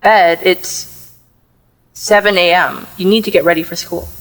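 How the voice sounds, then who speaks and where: A woman's voice: lowish voice, steady tone, a little slow, close to an everyday voice, low-quality recording. A woman playing a loving, worried mother of a teenager in a contemporary audio play, speaking earnestly and tenderly. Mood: gently firm.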